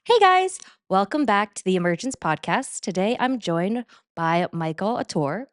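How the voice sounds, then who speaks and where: A middle-aged woman hosting a fitness podcast, moving from a scripted intro into relaxed, upbeat interview questions. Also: medium pitch, unhurried, dry recording, faint music behind. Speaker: podcast host. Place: New York City.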